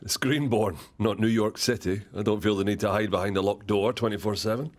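Radio soap handyman played by a man: casual, unworried, fairly low voice. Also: fairly even intonation, brisk, close to an everyday voice. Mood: easygoing.

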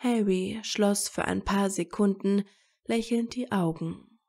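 Casting: female story narrator, mellow and relaxed, unhurried measured read of scripted fiction. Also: medium pitch, creaky.

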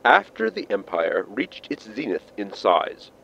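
A man's voice: fairly low pitch, huge pitch swings, measured pace, vocal fry, fairly bright tone, breathy edge, highs cut off, exaggerated voice. A man voicing an android historian giving a dry recorded lecture in a sci-fi comedy audio drama. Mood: lecturing.

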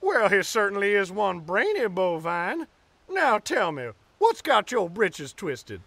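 A man's voice: sits high, wildly swooping pitch, slow, gravelly, a bit bright, dry recording, full character voice. A man playing a rowdy, folksy with an in an audio drama, very theatrical. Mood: teasing. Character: Pecos Bill, rowdy folksy cowboy.

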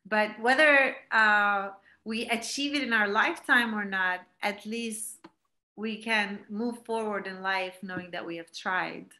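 Guest speaker, woman in her 40s, from Palestine, unhurried and reflective, bright voice, laptop video call. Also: mid-range voice, steady tone. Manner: reflective, many fillers and restarts. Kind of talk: online talk. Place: home room, indoors. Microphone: laptop webcam video call.

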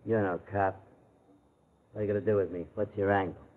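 An actor playing a shifty, slangy American small-time crook in an old radio drama, muttering and halting. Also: male voice, lowish voice, level tone, natural voice. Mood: wary, sly. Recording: muffled, tinny old radio broadcast.

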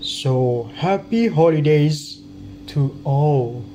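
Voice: mid-range voice, animated, drawn-out words, warm tone, a little hiss or hum, poor audio. A young adult student with a Thai accent, a native Thai speaker, reading scripted storybook narration for a cartoon dub.

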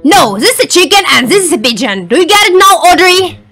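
Fussy cartoon bird fancier, male, played by a woman: high, indignant, wildly animated. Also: fairly quick, faint music behind, exaggerated voice. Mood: exasperated. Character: exasperated, pedantic eccentric man who loves pigeons.

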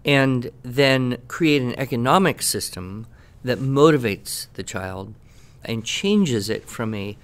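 Man explaining things in measured phrases. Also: mid-range voice, expressive, smooth. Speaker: education expert answering questions. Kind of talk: conversational advice podcast.

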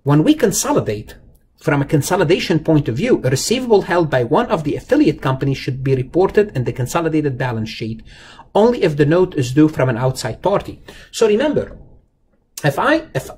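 A man with a slightly high voice teaches in a conversational lecture style. He repeats key phrases for emphasis and puts rhetorical questions to the learner. There is some faint noise in the recording. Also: very animated, a little fast, darkish tone. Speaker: accounting lecturer. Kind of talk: explanatory lecture over slides, informal and conversational. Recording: noticeable background noise.

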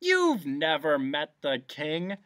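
Casting: excitable male storybook bee, acted by a narrator, sneering and prim, bright tone, very animated. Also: medium pitch, fast talker, no room sound. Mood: disdainful. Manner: sneering, prim, spells words out.

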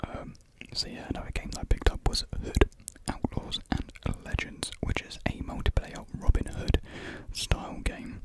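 A British man in his twenties whispering a casual ASMR ramble softly, close to a desktop microphone in a small room. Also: moderate pace, hardly any pauses. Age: 20s. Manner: soft unvoiced whispering. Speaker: ASMR video creator. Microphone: close desktop USB microphone.

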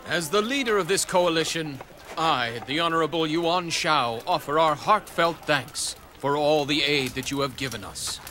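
An actor playing a pompous, self-important army commander in a video game, giving a ceremonious address. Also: male voice, fairly high pitch, moderate pace, bright, forceful tone, a little hiss or hum. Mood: self-satisfied. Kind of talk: video game cutscene acting.

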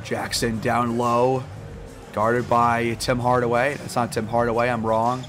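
A slightly hoarse man giving casual gameplay commentary, relaxed and full of fillers, louder and livelier when calling plays. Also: mid-range voice, measured pace. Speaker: gaming YouTuber. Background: game music.

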